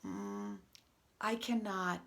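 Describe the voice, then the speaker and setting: A stubborn, doubtful storybook cow, put on by a woman reading aloud. The delivery is slow and halting, with some creak and an earnest, protesting manner. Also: mid-range voice, fairly even intonation, a bit bright. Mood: reluctant. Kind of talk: children's storybook read-aloud.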